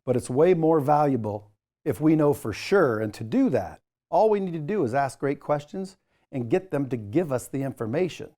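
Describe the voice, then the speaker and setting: A man's voice: fairly high pitch, expressive, normal speed, dark tone. A man presenting a training lesson as an instructor, speaking directly to the learner in an emphatic, coaching way with informal asides. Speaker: sales trainer, course instructor.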